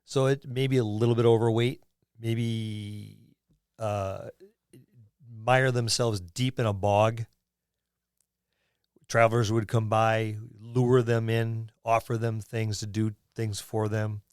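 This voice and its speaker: A man co-hosting a podcast, chatting casually and haltingly about tabletop gaming, thinking aloud as he goes. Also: fairly low pitch, level tone, smooth, no room sound, studio quality. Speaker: podcast co-host.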